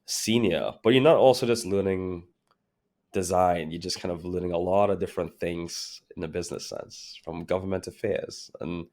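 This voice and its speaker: Low, gravelly male guest from Trinidad and Tobago; relaxed, reflective, filler-heavy delivery. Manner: relaxed, reflective, many fillers like "like" and "you know". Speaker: guest, creative director. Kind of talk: casual interview conversation.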